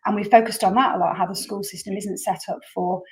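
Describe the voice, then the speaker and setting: A woman hosting a chatty podcast at a mid-range pitch. She sets up the conversation earnestly, circling back and repeating words as her thoughts build. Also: steady tone, fast talker. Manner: earnest, rambling intro with many repeats. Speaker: podcast host. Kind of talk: casual interview chat.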